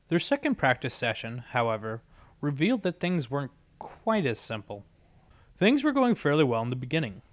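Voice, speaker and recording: A man's voice: on the high side, no echo. A man reading a story aloud as scripted narration. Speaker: story reader.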